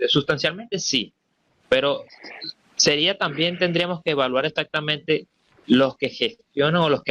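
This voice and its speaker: A man's voice: mid-range voice, sharp tone. A man taking part in a spontaneous religious discussion, searching hesitantly for precise wording as he reasons. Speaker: debate participant.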